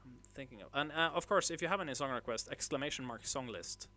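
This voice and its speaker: A man from northern Norway, hosting a casual livestream and chatting with viewers in a halting way, full of filler sounds and restarts. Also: mid-range voice, pushed and bright. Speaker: livestream host. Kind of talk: casual livestream chat, reading chat messages.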